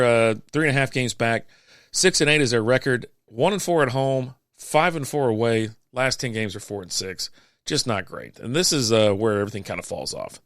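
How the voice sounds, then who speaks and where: A man hosting a podcast, reading out sports standings in a casual, chatty way with offhand quips, his voice going hoarse. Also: medium pitch, fairly bright tone, no echo, studio quality. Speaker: podcast host.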